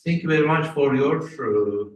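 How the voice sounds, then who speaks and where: A chatty host who encourages the audience. He has a mid-pitched male voice. He sounds far from the mic in a very echoey room. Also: moderate pace, darkish tone, slight background noise.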